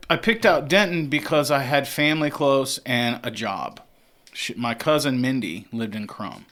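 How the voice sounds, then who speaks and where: A man's voice: mid-range voice, a bit bright. A man in his forties, a singer-songwriter guest, telling stories in a candid, rambling way. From Texas. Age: 40s. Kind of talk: casual interview chat.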